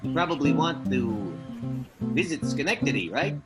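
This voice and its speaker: A man playing a laid-back, folksy tourism pitchman in a comic mock radio advert, offhand and casual. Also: very animated, normal speed, natural voice. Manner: offhand, casual, hesitant salesmanship. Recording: loud guitar music under the voice.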